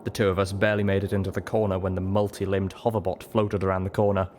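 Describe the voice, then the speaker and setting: A man reading a story aloud as expressive, scripted first-person narration. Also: low-pitched, fast talker, slight background noise. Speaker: audiobook reader.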